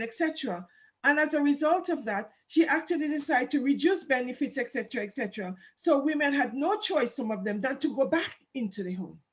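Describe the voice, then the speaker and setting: Measured woman interviewer, muffled call audio. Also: high-pitched. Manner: measured, affirming, frequent "mm" backchannels. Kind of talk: interview questions and remarks.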